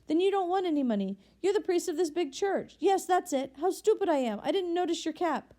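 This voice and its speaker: A woman's voice: a little high, moderate pace, warm tone, natural voice. A woman voicing a stammering ex-convict man. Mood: stammering, astonished. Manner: stammering, pleading, tumbling words. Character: desperate ex-convict man, 46, worn and astonished.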